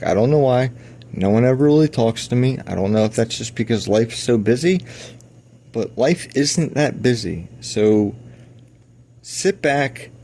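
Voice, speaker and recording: A man speaking an earnest, confessional personal monologue, halting with many restarts. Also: on the low side, warm tone. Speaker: personal audio diarist.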